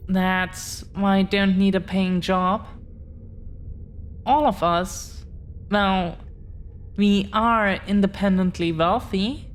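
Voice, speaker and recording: A woman playing an affectionate, proud dragon-blooded girlfriend in an intimate audio roleplay, talking in a teasing way with long pauses. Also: mid-range voice, steady tone, lightly acted. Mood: proud, bragging. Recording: clear background noise.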